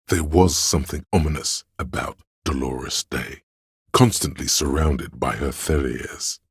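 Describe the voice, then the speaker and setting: A man voicing an erudite, pedantic encyclopedia inside a detective's mind in a video game, lecturing in a measured, scholarly way with ominous gravity. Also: extremely low pitch, breathy edge, dry recording, studio quality, full character voice. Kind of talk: scripted game narration, lecture-like.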